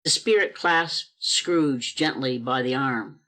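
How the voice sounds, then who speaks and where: A man's voice: mid-range voice, slow, sharp tone. A man narrating a radio play as a scripted storyteller.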